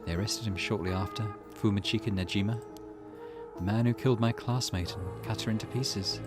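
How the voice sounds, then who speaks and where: A man voicing a reflective woman in a visual novel, telling a grim tale in a measured, wistful way over music. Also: lowish voice, fairly even intonation, lightly acted. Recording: loud music behind voice.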